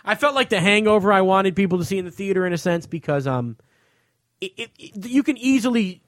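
American man, high-pitched voice, podcast host, casual movie chat, profane, conversational, dry close studio sound. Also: animated, fairly quick, dry recording.

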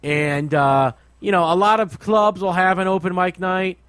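A man's voice: high-pitched, fairly even intonation, moderate pace, fairly bright tone, clear, resonant tone, no room sound. A man hosting a radio show, chatting casually with opinions in a blunt, repetitive way. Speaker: radio show host and comedy night host.

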